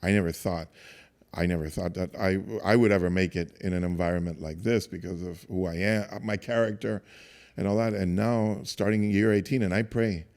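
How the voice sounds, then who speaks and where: A man in his fifties from Cuba, a church staff guest telling his story in a casual interview, soft-spoken and halting with many restarts. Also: sits low, fairly even intonation.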